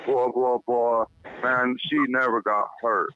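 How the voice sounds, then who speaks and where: A man's voice: mid-range voice, little pitch movement, takes it slowly, narrow, tinny audio. A man in a private phone conversation, ranting bitterly with swearing, heard on a recorded call.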